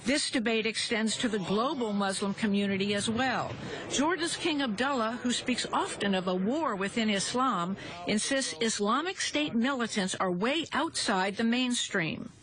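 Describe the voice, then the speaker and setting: A woman correspondent narrating a scripted news report in an even, formal delivery. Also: a little high, normal speed, low-quality recording.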